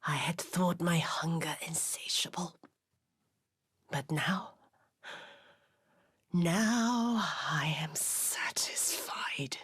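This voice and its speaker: An actress playing a bitter, coldly mocking, vengeful dying villainess in a video game cutscene, breathy and languid, savouring each phrase. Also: female voice, lowish voice, expressive, extremely slow, pauses often, a bit bright, no echo, in a whisper, studio quality, full character voice. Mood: bitter satisfaction. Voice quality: breathy, husky.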